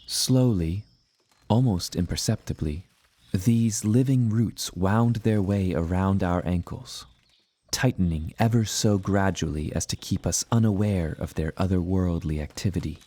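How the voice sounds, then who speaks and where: A man narrating dramatic scripted audio fiction in the first person, with measured, deliberate storytelling. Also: sits low, mellow. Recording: music behind the voice.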